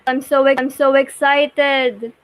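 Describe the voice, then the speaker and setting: A woman's voice: high voice, little pitch movement, takes it slowly. A woman hosting a casual livestream, effusively repeating words as she reads out questions from the community. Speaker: host reading community questions. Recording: noisy livestream audio with clicks.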